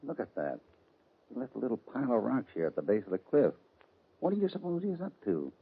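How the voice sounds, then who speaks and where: A man playing a shrewd, calm, deliberate cowboy hero in an old radio western. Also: medium pitch, vocal fry, breathy edge, full character voice. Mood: calm suspicion. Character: shrewd, steady cowboy hero, unhurried and suspicious. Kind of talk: 1940s-50s radio western acting. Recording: muffled old-time radio recording.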